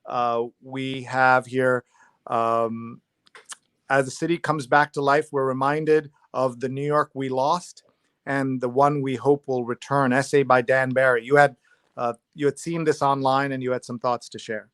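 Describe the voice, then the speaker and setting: A man hosting a casual live review show, talking through a newspaper and reading bits aloud while thinking out loud. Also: mid-range voice, little pitch movement, unhurried, clear, resonant tone, no echo.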